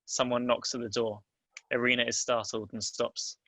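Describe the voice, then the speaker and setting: A man's voice: medium pitch, little pitch movement, normal speed, a bit bright, no room sound. A man narrating stage directions in a scripted table read.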